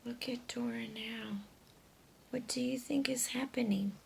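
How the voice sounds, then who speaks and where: A woman reading a picture book aloud to children, often hushed, with expressive, deliberate narration and questions for young listeners. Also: fairly high pitch, vocal fry, a little hiss or hum.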